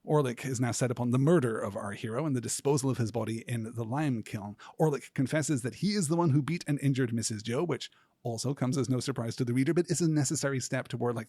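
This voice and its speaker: A man hosting a podcast, delivering scripted literary commentary in a measured, articulate way with deliberate, emphatic phrasing. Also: mid-range voice, darkish tone, breathy edge, no room sound. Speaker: podcast host.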